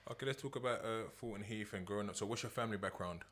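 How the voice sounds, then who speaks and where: A man hosting a podcast, asking short, relaxed questions. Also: fairly low pitch, very fast. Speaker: podcast host. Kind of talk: casual interview questions.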